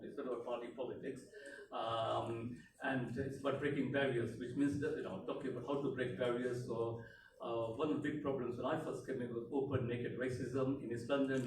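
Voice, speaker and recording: A man's voice: mid-range voice, a little mellow. Middle-aged guy from tanzania giving a speech, lots of uhs and ums, echoey room. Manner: hesitant, many fillers and restarts. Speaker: elected politician speaking at a community event. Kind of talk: unscripted speech. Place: community event room. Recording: echoey room, mic far off, background noise.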